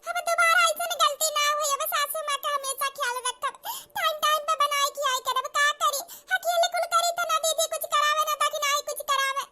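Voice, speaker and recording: Woman playing a shrill, plaintive village wife. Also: fairly even intonation, normal speed, hardly any pauses, heavy background noise. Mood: apologetic, defensive. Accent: Awadhi. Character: put-upon, pleading young village wife. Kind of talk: cartoon comedy acting.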